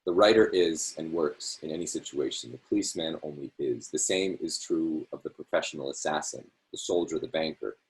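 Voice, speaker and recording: A man with an extremely low, deep voice reads a literary essay aloud over a video call. He takes it phrase by phrase in a measured way and now and then corrects a slipped word. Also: poor audio. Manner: measured, phrase-by-phrase delivery with small self-corrections. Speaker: reader hosting a reading series.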